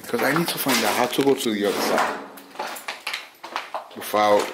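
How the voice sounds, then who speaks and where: A man's voice: medium pitch, normal speed. A man casually narrating an exploration vlog as a ghost hunter inside an empty building. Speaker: ghost-hunting vlogger. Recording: echoey rooms with clear noise.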